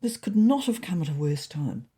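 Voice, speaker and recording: Woman reading a story, husky lowish voice, wry but really expressive. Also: moderate pace. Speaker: storyteller and podcast narrator. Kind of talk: scripted story narration, first person.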